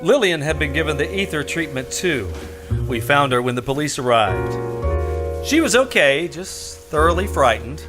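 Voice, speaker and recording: An actor playing a tough, wry private detective in an old radio crime drama, sardonic in dialogue and narration. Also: male voice, a little high, sing-song, moderate pace, loud music behind the voice. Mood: dry narration. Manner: sardonic, hard-boiled. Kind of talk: 1940s-50s radio detective drama acting.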